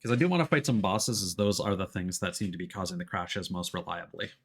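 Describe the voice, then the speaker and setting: A man's voice: low voice, quick pace, breathy edge. A man giving casual live gameplay commentary as a streamer, explaining things and thinking aloud. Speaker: game streamer.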